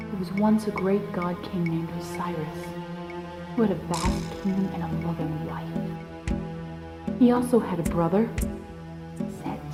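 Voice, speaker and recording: Woman acting a stern, commanding mother, roomy echo. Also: on the low side. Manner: stern, bossy, insistent. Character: commanding, bitter mother, scholarly and accusing. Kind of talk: audio drama acting.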